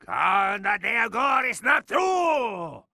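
An actor playing a sadistic, grandiose mad scientist villain in a video game, speaking in a theatrical, menacing way. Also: male voice, sits high, big pitch swings, slow pace, gravelly, sharp tone, no echo, raised voice, clean studio sound, full character voice. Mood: grandiose, ranting. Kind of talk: video game cutscene acting.

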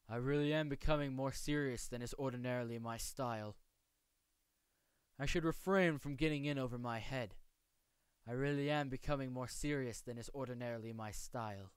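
A man voicing a polite, aloof young swordsman from a manga, with formal, measured, precise speech. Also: medium pitch, clear, resonant tone, no room sound, studio quality, close to an everyday voice. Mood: cool, composed. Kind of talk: amateur voice acting showcase of manga lines.

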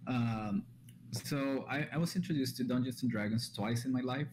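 A man's voice: medium pitch, moderate pace, darkish tone, slight background noise. A young man from Colombia, a native Spanish speaker, appears as a guest on a video call and talks hesitantly, often starting with "um", into a headset and studio mic at home. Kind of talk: casual video call chat. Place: small room at home. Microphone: headset with large studio mic.

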